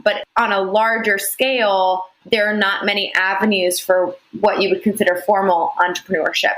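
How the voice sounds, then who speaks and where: A young woman, a company founder, answering interview questions in a measured, explanatory way with frequent "you know" fillers. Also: mid-range voice, flat delivery, a bit bright, echoey room, low-quality recording. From United States. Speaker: founder, podcast guest.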